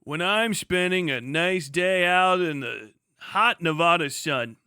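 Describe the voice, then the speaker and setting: A man putting on a cheery retro-futuristic soda commercial pitchman, with a slow, hammy mock 1950s advertising delivery. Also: sharp tone, smooth, no echo, clean studio sound, heavily acted. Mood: folksy salesmanship.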